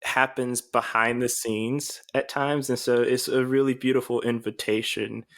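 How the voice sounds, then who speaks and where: A man of average pitch co-hosts a casual podcast. He rambles and hesitates, restarting his sentences. He often pokes fun at himself as he talks. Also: level tone, unhurried, fairly bright tone. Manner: rambling, hesitant, self-deprecating. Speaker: podcast co-host.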